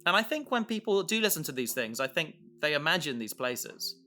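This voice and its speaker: A man's voice: a little high, sing-song, fairly quick, pushed and bright, faint music behind. A man chatting casually as a podcast host about his creative work, rambling with enthusiasm and often trailing off mid-thought. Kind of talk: casual chat about making an audio drama.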